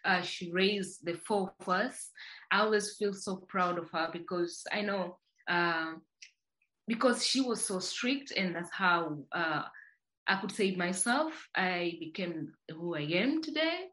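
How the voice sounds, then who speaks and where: A woman's voice: on the low side, lively intonation, measured pace, pushed and bright, rough recording. Tanzanian-raised woman telling her story. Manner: reflective, many restarts and fillers. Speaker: podcast guest, company founder. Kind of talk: casual interview.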